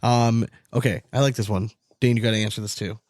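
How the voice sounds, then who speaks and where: A man's voice: medium pitch, fairly even intonation, a little fast, darkish tone, dry recording, studio quality. A man hosting a casual sports podcast, chatting in a blunt, sweary, slangy way. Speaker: podcast host.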